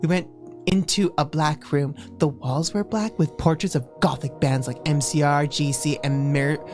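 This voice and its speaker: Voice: mid-range voice, fairly even intonation, moderate pace. A livestream host doing a comedic dramatic reading aloud, stumbling over words in a giggly way. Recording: music behind the voice. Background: music.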